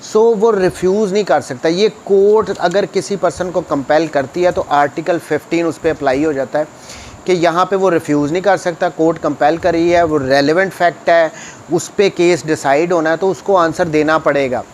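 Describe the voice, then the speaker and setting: A man with a Pakistani accent teaches in a somewhat high-pitched voice. He reads formal passages aloud, then explains them plainly, repeating key points and mixing in English. There is noticeable noise behind him. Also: normal speed. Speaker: law lecturer, advocate.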